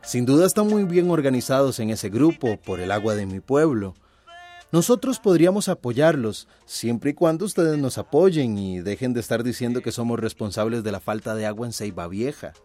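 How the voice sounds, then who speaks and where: A man's voice: medium pitch, animated, moderate pace, mellow, soft background music, natural voice. A man acting an earnest, insistent company loyalist in a radio soap opera. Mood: defensive, insistent. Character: earnest, defensive young male company loyalist.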